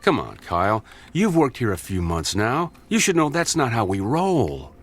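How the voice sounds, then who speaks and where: Radio drama role: sardonic mentor doctor, mid-pitched, very expressive and chiding, music underneath. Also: male voice, normal speed. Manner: sarcastic, chiding. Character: exasperated, caring senior male doctor, sardonic mentor.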